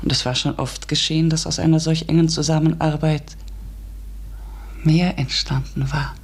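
An inquisitive, ambitious woman in a literary radio drama. Her voice is breathy and husky, and she speaks earnestly, reflectively and with polite hesitancy. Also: sits low, flat delivery, normal speed. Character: eager, curious, ambitious woman narrating her strange discovery. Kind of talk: literary radio play narration and dialogue.

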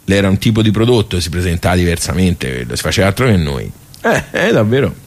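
A nostalgic village club regular reminisces in a low-pitched man's voice with a Tuscan accent. He is chatty and folksy, wandering off into digressions as he goes. The delivery is that of a colloquial radio theatre monologue. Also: very animated, fast talker, heavily acted. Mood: nostalgic, wry.

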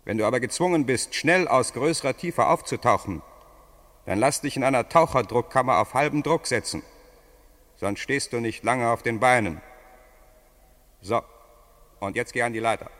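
1950s radio drama dive boss, male actor: mid pitch, instructive, stern, big reverberant space. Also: normal speed, long pauses, fairly bright tone, natural voice. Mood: cautioning. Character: experienced diving instructor, stern, practical, warning.

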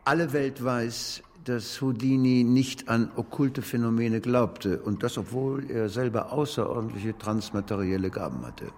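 An actor playing an ailing older gentleman, an earnest spiritualist, speaking formally and gravely with some hesitation in a German radio drama. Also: medium pitch, measured pace, few pauses, in a large hall.

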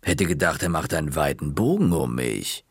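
A man voicing a smug, quietly threatening woman librarian, speaking slowly, in a storybook reading. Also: rumbling low, big pitch swings, breathy edge, no echo, studio quality, voice pushed down, exaggerated voice. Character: sly menacing woman librarian, middle-aged.

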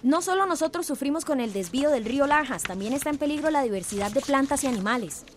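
An actress playing a committed, careful community activist in a radio drama, earnest and emphatic. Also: female voice, high voice, expressive, quick pace, slight background noise. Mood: earnest, cautioning.

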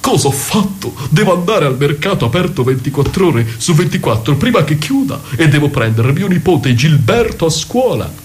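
A man's voice: on the high side, sing-song, moderate pace, hardly any pauses, faint music behind. A man playing a meek, naive, over-polite cartoon dog in a comic radio play. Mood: dismayed. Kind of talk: comic radio theatre parody.

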